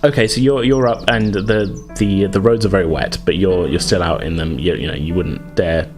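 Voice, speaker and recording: Deep voiced guy game mastering, kinda hesitant and chatty, bit of music under him. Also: normal speed. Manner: conversational, improvised, hesitant hedging. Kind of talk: narrating a tabletop roleplaying game. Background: background music.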